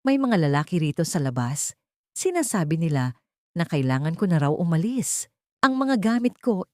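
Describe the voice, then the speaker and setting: A woman's voice: medium pitch, dry recording, studio quality, voice pushed up. A woman voicing a frantic, angry young mistress in a dramatic audio story. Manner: fearful, shouting, dramatic.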